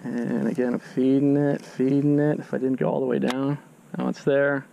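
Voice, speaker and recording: A man's voice: medium pitch, level tone, moderate pace, gravelly, dark tone, highs cut off, slight background noise. A man on a fishing boat outdoors, casually explaining his technique step by step, often restarting his sentences. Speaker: fishing channel host. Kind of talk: instructional fishing commentary.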